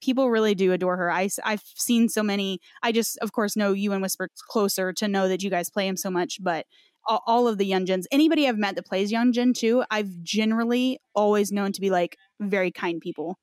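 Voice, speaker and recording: A woman's voice: medium pitch, fairly quick, a little mellow, no echo, clean studio sound. A woman podcast host chatting casually in an earnest, effusive and supportive way. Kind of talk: casual chat.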